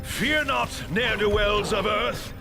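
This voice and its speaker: A man's voice: high-pitched, slow, gravelly, over music, exaggerated voice. A male actor playing a proud, menacing alien supervillain in a video game, speaking in a pompous, theatrical way. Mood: grand, menacing.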